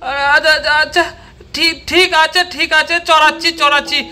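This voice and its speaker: An eager, reassuring village camel driver for a doll cartoon voiced by a man. The pitch is extremely high and the tone piercingly bright. He repeats his short phrases over faint music. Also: level tone, brisk, full character voice. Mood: eager, obliging. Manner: repeats short calls and urgings. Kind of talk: amateur doll-story voice acting.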